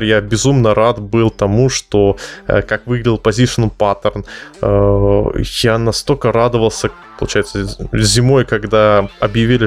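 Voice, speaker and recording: A man hosting a stream who talks casually, joking and enthusiastic. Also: lowish voice. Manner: enthusiastic, jokey. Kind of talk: casual panel discussion.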